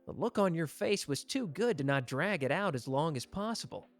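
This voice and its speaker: A man's voice: on the high side, lively intonation, moderate pace, soft background music. A man acting a suave, teasing young mafia executive with a love of fine wine, speaking playfully to the listener in a roleplay audio. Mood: amused, teasing.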